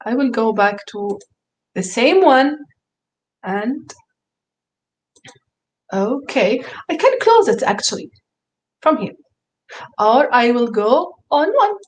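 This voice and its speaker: A woman's voice: on the high side, sing-song, slow, long pauses. A woman whose first language is Arabic, presenting a screen-share tutorial to teachers, hesitant, with many fillers and restarts, and enthusiastic.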